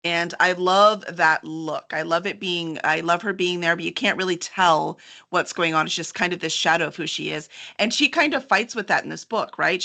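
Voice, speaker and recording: Female host, bright tone, enthusiastic and conversational, able to slip into reading copy aloud. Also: on the low side, gravelly. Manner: chatty, enthusiastic. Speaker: host and book publisher's representative. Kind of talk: upbeat interview chat with some reading aloud.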